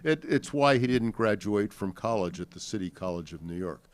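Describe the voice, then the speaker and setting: An older man with an American accent recounts family memories to an audience in a halting, deliberate, reflective way. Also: fairly low pitch, expressive. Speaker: panel speaker sharing family recollections. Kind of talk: unscripted storytelling to an audience.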